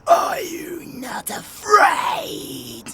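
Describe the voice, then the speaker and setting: A man playing a sadistic, theatrical vampire in an audio roleplay, drawing out menacing taunts that swing between whispers and shouts. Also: extremely high pitch, wildly swooping pitch, very slow, heavy background noise, heavily acted. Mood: sadistic taunting. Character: sadistic, theatrical male vampire stalking prey. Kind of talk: cinematic audio roleplay acting.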